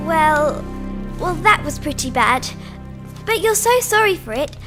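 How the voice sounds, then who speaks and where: A kind little girl in a radio play. The voice is very high, earnest and politely direct, with music clearly behind it. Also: expressive, unhurried. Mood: earnest, reassuring. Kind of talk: radio drama acting.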